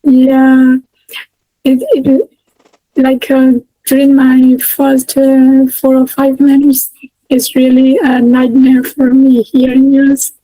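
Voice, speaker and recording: A woman's voice: sits high, monotone, slow pace, warm tone, dry recording, poor audio. A woman in her thirties from Myanmar with a Burmese accent, answering interview questions hesitantly with many fillers and restarts over a video call. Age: 30s. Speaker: guest. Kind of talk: casual interview.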